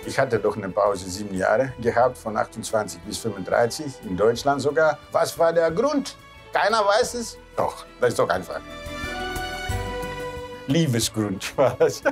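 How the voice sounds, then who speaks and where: A man's voice: mid-range voice, big pitch swings, unhurried. Man, 60s, Greek accent, non-native speaker. Ship's captain recalling his life in a casual interview, mid-range voice over music. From Greece. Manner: non-native German with loose grammar, often ending on a questioning "ja". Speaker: cruise ship captain. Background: background music.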